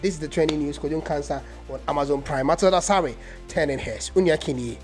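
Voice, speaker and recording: A man's voice: a little high, fast talker, faint music behind. A Ghanaian man giving impassioned commentary in a vlog, mixing English and Twi. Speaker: vlogger.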